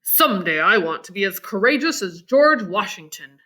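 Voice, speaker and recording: A woman reads as a kindly teenage farm boy from a storybook. The voice drops well down and stays calm and earnest. He talks with quiet, proud conviction. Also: mid-range voice, moderate pace, pitched below the performer's own voice. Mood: proud, hopeful.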